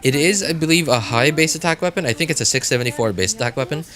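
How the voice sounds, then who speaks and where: A man chatting casually to viewers as a game livestreamer, rambling and often repeating himself in a halting way. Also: a little high, fairly bright tone.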